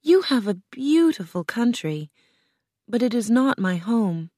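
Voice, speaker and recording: A woman's voice: a little high, big pitch swings, unhurried, darkish tone, no room sound, clean studio sound, pitched above the performer's own voice. A woman voicing a small, earnest young girl in a storybook, polite, meek and plaintive. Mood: earnest, pleading. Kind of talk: storybook character voice.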